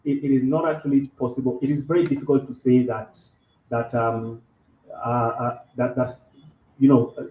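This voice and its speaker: A man's voice: mid-range voice, flat delivery, normal speed, reverberant, phone-quality sound, a little hiss or hum. A man from Kenya speaking on a live café panel, restarting often and repeating words as he searches for phrasing, in a mostly neutral accent. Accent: neutral English, faint Kenyan.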